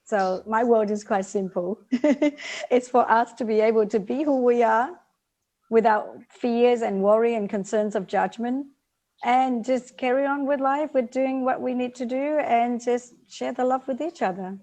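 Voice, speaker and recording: A woman's voice: fairly high pitch, measured pace, dark tone. A woman in her forties from Vietnam, a guest author in a live video call interview, speaking earnestly, repeating key words and laughing lightly.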